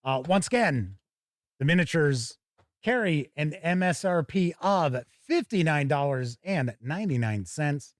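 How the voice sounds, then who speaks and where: A man's voice: on the high side, animated, unhurried, no echo, clean studio sound. A man hosting a tabletop gaming show, giving chatty, casual live commentary as he looks over products and talks with viewers.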